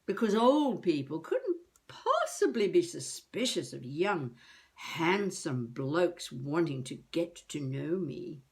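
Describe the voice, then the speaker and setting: Actress as elderly woman, wry, feisty, halting self-talk, intimate comic monologue, video diary style. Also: sits low, very animated, a little mellow, exaggerated voice. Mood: indignant, wry. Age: older. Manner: wry, musing, halting, talking to herself.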